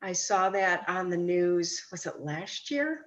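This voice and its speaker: A woman's voice: fairly low pitch, expressive, slow pace, fairly bright tone. A woman chatting casually with family on a video call, explaining things in a tentative, informal way. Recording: muffled video call audio.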